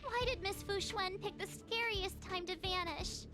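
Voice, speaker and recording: A woman voicing a plucky, expressive teenage girl adventurer in a video game. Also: very high-pitched, measured pace, over music. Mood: worried. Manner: expressive, exclaiming.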